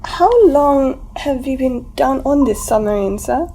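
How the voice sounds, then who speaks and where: A woman's voice: sits high, a little slow, warm tone, slight background noise. A woman playing a skeptical, jumpy submarine crew member in a radio play. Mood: doubtful.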